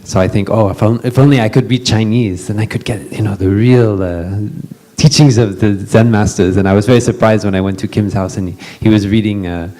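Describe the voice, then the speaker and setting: Soft-toned man introducing a speaker. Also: on the low side, steady tone, fairly quick, hardly any pauses. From Western country. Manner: hesitant, many fillers and restarts. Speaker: retreat panel moderator. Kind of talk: casual introduction to a gathering.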